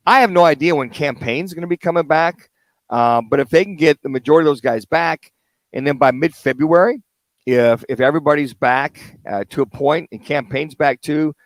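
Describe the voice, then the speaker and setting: An American man with a fairly high voice talks through sports analysis in a casual radio co-host style. The sound is dry, with very little room around him. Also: no echo. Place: live remote radio broadcast at a car dealership.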